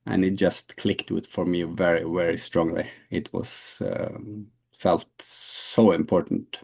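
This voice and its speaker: A man's voice: low voice, fairly even intonation, slow pace, vocal fry, darkish tone, slightly rough. A man in his late forties from Norway, answering interview questions in a hesitant way, with many fillers and restarts. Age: 40s. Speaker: guest.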